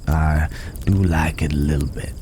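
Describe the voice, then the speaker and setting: A man playing a calm, protective cowboy stranger in an ASMR roleplay, talking in an unhurried, folksy way with hesitant pauses and gentle teasing. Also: rumbling low, a little hiss or hum. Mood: wry, teasing. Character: gruff but caring male cowboy stranger, calm and protective. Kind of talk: immersive ASMR roleplay acting. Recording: outdoor night ambience with chirping crickets.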